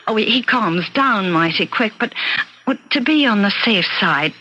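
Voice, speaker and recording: An actress playing a meek, pleading housemaid with halting, folksy speech in an old-time radio mystery. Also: female voice, mid-range voice, lively intonation, pushed and bright. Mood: anxious, pleading. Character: flustered, pleading housemaid, meek and simple-hearted. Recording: dull lo-fi radio drama.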